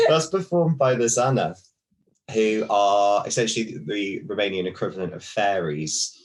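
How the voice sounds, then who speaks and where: Deep voiced guy on a podcast, wry and laid back, lots of ums while he reads his notes. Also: a little slow, a little hiss or hum, low-quality recording. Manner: wry, relaxed, hesitant with ums and uhs. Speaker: podcast co-host, history expert. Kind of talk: casual podcast chat, telling folklore from notes.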